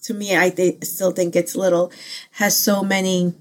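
A woman's voice: lowish voice, flat delivery, moderate pace. A woman born in the Philippines whose first languages are Pangasinan and Ilocano, hosting a casual personal faith vlog in an earnest, heartfelt way, rambling with many fillers and restarts. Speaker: channel host.

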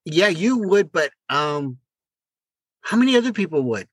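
Animated, higher-voiced man bantering. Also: a little slow, breathy edge, no echo. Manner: playful, joking. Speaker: podcast co-host. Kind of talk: casual banter.

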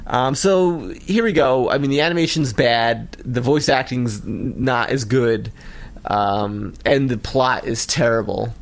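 A man with an American accent and an average-pitched voice full of gravelly creak. He co-hosts a podcast in an informal, conversational style. His sentences wander and stall as he works through a thought. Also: measured pace. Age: 30s or 40s. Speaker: podcast co-host. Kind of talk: casual chat about a cartoon episode.

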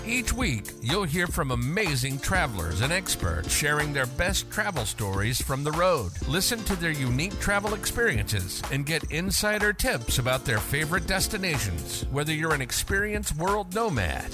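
A man's voice: on the high side, very animated, moderate pace, hardly any pauses, over music. A man reading an upbeat scripted podcast intro with a promotional delivery. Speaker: show announcer.

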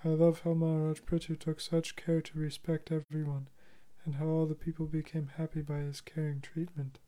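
A voice reading listener comments aloud in an even, measured way. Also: mid-range voice.

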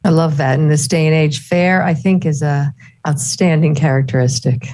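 Low-pitched female interviewer. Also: steady tone, normal speed, a little mellow. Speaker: co-host interviewer. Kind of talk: conversational interviewing.

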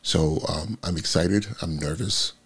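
A man in his forties, a school principal, with a raspy voice, talking through a reflective morning vlog full of ums. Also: low voice, unhurried. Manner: rambling, reflective, many ums.

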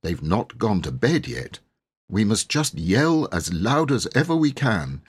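Boy ringleader for a storybook, male reader performing: bossy, bold, emphatic, animated pitch, slightly rough tone. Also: heavily acted. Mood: planning. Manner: commanding, deliberate, emphatic.

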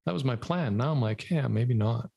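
Male host, husky drifting American-German accent, easygoing wry storyteller. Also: mid-range voice, moderate pace, a little mellow. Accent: mixed American and German. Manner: laid-back, rambling, wry. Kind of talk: casual conversation.

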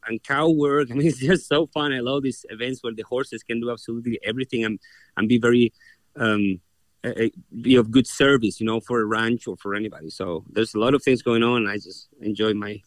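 A man's voice: medium pitch, dry recording. A young adult man from Chile with a Chilean Spanish accent, a horse trainer answering interview questions earnestly and often restarting his words. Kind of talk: interview guest.

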